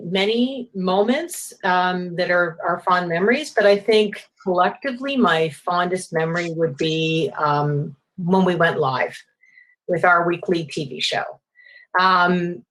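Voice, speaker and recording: Thoughtful older woman, low voice. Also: poor audio. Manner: thoughtful, repeats words as she thinks. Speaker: retired journalism professor. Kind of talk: interview answers.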